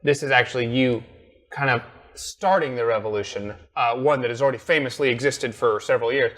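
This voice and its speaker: A man's voice: mid-range voice, a little fast, fairly bright tone. A man co-hosting a casual gaming podcast from a living room couch, talking animatedly and acting out lines he quotes. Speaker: podcast co-host.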